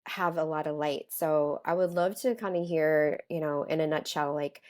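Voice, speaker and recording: Woman host, slightly low voice, calm and soothing, chatty podcast style. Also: normal speed. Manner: chatty, lots of "like" fillers. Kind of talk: casual podcast interview.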